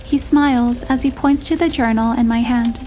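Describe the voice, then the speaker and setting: A woman narrating a romantic first-person story in a measured, expressive storytelling manner. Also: a little high, a little hiss or hum. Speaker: narrator and heroine voice.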